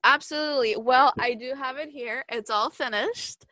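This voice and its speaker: A woman's voice: sits high, moderate pace, bright, forceful tone, no echo. A woman hosting a podcast interview, talking in an upbeat, chatty way with some fillers, her voice a little off from being sick. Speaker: podcast host.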